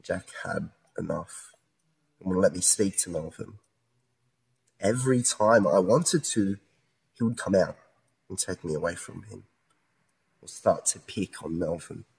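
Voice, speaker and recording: A man's voice: deep voice, unhurried, creaky, long pauses, darkish tone, breathy edge, full character voice, poor audio. A man acting in a modern radio play as a distressed, earnest young man pleading his innocence over a split personality. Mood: subdued, sorrowful.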